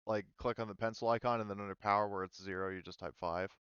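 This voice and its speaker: Guy game master, clean smooth voice, kinda hesitant, dry slightly muffled audio. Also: lowish voice, steady tone, fast talker, a bit bright, dry recording. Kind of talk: running an online tabletop roleplaying game. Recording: slightly dull, lo-fi voice-chat audio.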